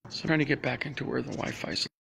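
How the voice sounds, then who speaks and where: A man's voice: medium pitch, fast talker, creaky, a bit bright, slight background noise. A man rambling through a live stream from inside a public library, full of restarts and asides. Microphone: phone or laptop camera.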